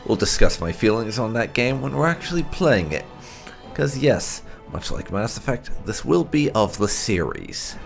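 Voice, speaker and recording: Male gaming host, gravelly and unhurried, casual intro commentary with faint music behind. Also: mid-range voice, very animated.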